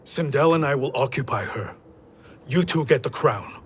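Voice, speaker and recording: A man's voice: medium pitch, animated, normal speed, a little hiss or hum, natural voice. Man as a curt, scornful warrior. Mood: brisk. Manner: curt, contemptuous. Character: scornful, hard, businesslike male warrior. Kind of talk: video game cutscene acting.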